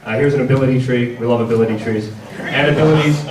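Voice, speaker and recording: A man giving an informal presentation at a convention panel, speaking in a halting, stop-start way and repeating words. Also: medium pitch, a crowd in the background. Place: large indoor convention panel room. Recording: echoey hall, distant mic, audience noise.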